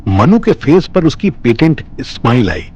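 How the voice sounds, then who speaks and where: Male story narrator with lively intonation. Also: medium pitch, measured pace, a little hiss or hum. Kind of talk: dramatic scripted story narration.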